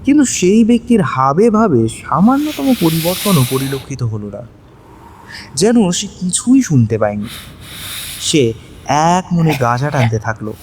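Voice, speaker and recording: A man narrating a dramatic audio story, with measured, suspenseful phrasing. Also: fairly high pitch, sing-song, a little hiss or hum.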